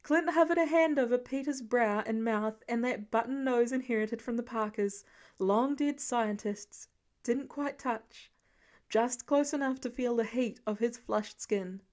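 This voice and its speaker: A woman reading fiction aloud as an expressive audiobook narrator. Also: a little high, measured pace.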